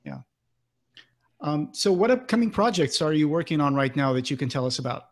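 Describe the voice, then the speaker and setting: Male podcast interviewer, thirties, fairly high voice, conversational, close desk mic. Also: very animated, fairly quick. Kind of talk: casual interview questions. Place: home office, indoors, on a video call. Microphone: close desk microphone with pop filter.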